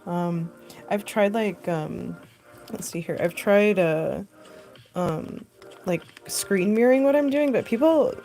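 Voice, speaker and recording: A young woman with a deep voice, chatting casually and rambling with lots of fillers while she sculpts on a live stream, recorded on a phone. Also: lively intonation, slow, dark tone, faint music behind. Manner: rambling, filler-heavy, laughs often. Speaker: costume artist streaming live.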